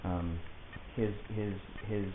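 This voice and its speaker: The recording is narrow and echoey, with a light hiss over it. A man with a fairly low voice talks as a client to his lawyer. He argues insistently and in careful, articulate detail. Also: fairly even intonation, slow. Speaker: client of a public defender. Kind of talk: argumentative conversation. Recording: hissy, muffled phone-like audio, echoey room.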